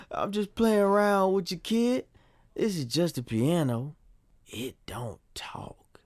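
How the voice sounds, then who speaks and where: A man playing an old, teasing former slave and musician in an audio drama, with a husky, gravelly drawl. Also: high-pitched, lively intonation, takes it slowly, a little mellow, no room sound, clean studio sound, full character voice. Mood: teasing, playful. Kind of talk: nostalgic audio drama acting.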